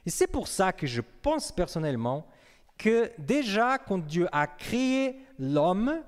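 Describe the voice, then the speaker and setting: Young pastor preaching in an echoing hall. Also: male voice, high-pitched, very animated, takes it slowly. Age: young adult. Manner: conversational, asks the congregation questions. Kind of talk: sermon. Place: church hall. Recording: long reverb of a hall.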